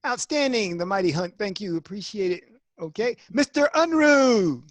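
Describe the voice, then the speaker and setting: Animated, upbeat moderator, dry close sound. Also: mid-range voice, moderate pace, no room sound. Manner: upbeat, repeats praise. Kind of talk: moderating online forum. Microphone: video call.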